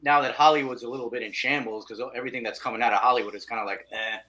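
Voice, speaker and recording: A man's voice: mid-range voice, steady tone, racing, heavy background noise. A man chatting informally as an independent film guest, speaking in short bursts. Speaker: independent filmmaker, podcast guest. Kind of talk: casual chat.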